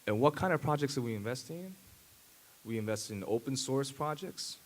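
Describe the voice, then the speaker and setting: A man in his thirties, a native Japanese speaker, giving a conference talk at a podium with a handheld mic, pausing often with fillers and restarts. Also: mid-range voice, expressive. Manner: halting, many fillers and restarts. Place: large dark auditorium stage.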